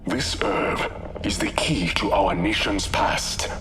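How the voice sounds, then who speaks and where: A man's voice: fairly low pitch, slow pace, a bit bright, a little hiss or hum. An actor playing a dignified, resolute warrior king in a video game, formal and solemn. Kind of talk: game voice acting, formal diction.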